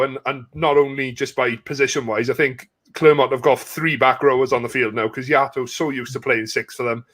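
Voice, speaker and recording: A man's voice: mid-range voice, a bit bright. A man hosting a live sports watch-along, chatting casually and matily with a guest and putting questions to him. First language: not French. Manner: chatty, matey address, asks questions.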